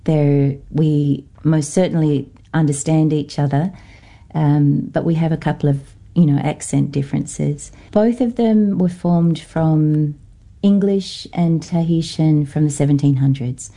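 Low, mellow Norfolk Island woman. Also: unhurried, creaky. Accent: Norfolk Island, Australian-influenced. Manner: thoughtful, hesitant, many fillers. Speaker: guest, academic. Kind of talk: radio interview.

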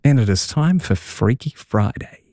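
A man hosting a radio phone-in, playful and jokey with lively reactions. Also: mid-range voice, normal speed, dry recording, clean studio sound. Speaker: radio host. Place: radio studio.

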